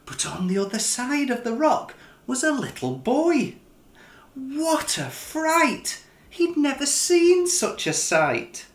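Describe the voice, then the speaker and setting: A man narrating a children's picture book in an expressive storytelling style, as the author reading aloud. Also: very high-pitched, slow pace, roomy sound.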